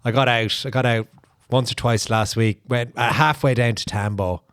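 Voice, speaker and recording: A man's voice: mid-range voice, fairly even intonation, moderate pace, a bit bright, clear, resonant tone, dry recording, clean studio sound. A man with an Irish accent, co-hosting a casual cycling chat with a chatty, self-mocking manner. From Ireland. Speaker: podcast co-host.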